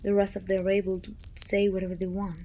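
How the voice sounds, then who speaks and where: A woman playing a pompous, self-pitying evil queen who talks down to her servant in an intimate, breathy ASMR roleplay, often dropping toward a whisper. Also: medium pitch, monotone, fast talker, dark tone, a little hiss or hum, lightly acted. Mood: haughty, scolding. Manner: pompous, petulant, theatrical sighing complaints.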